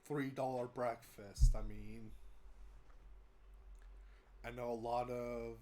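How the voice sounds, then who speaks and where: A man's voice: medium pitch, level tone, slow pace, warm tone. A young American man with a cold, sounding congested, giving a casual rambling update to his viewers with lots of fillers and restarts. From United States. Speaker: vlogger. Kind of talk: casual update talk to camera.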